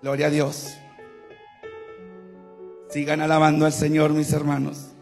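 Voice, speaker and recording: A man's voice: fairly high pitch, completely flat delivery, unhurried, vocal fry, darkish tone, faint music behind. A man in his twenties preaching in Spanish, leading the congregation and praying fervently with repeated invocations. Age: 20s. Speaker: guest preacher. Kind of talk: evangelical sermon and prayer.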